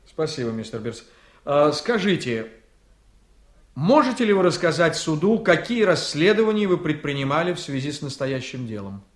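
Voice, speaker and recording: Echoey, assertive prosecutor. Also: male voice, a little high, big pitch swings, normal speed. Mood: probing. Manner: formal, probing. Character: ambitious male district prosecutor, probing and assertive. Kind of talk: radio play acting. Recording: echoey room.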